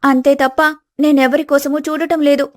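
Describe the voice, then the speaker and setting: A woman voicing a gentle, innocent younger daughter-in-law, pleading and apologetic, in a village family story. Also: sits high, flat delivery, normal speed, no room sound, studio quality, lightly acted.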